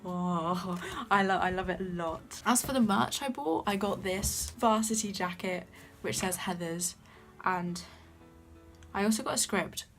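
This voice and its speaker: A young woman chats to camera with a soft, light voice. She gushes like an eager fan, piling on praise. Faint background music plays under her. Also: on the low side, measured pace. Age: young adult. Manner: gushing, enthusiastic fan talk. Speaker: vlogger. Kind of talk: casual vlog chat to camera.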